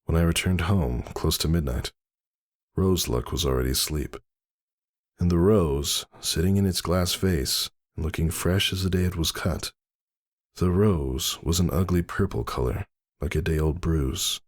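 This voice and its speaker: A man's voice: low voice, unhurried, no echo, clean studio sound. A man playing a brooding, anxious stallion husband, telling his tale in a somber, dramatic audiobook style. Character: brooding male pony husband, anxious and suspicious.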